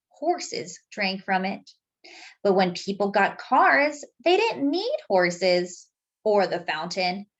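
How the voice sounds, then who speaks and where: A woman reading a picture book aloud to young children as a storytime host, expressive and speaking directly to her listeners. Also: medium pitch.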